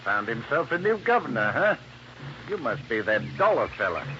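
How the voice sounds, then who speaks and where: Guy doing surly henchman, swings up high, taunting, noisy old radio show. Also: very animated, normal speed, dull audio, close to an everyday voice. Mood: surly, mocking. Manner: gruff, taunting. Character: big, surly, sneering plantation guard. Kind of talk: 1950s radio drama acting.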